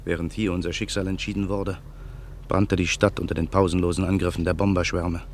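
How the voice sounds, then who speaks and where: A man's voice: sits low, little pitch movement, brisk, a little hiss or hum. An actor playing a vengeful man who broods in a grim inner monologue in a radio drama. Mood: brooding. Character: vengeful man waiting in a car at night. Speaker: narrator and protagonist. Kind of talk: 1950s radio drama acting.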